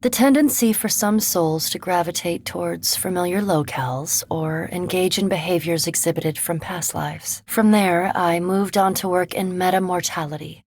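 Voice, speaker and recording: Video game vampire academic: centuries old, composed, curious, erudite; slightly husky female voice, recorded close and dry. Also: on the low side, darkish tone, dry recording. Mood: scholarly, measured. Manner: erudite, measured, formal. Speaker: animancy researcher and ship companion in a fantasy video game. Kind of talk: video game character dialogue.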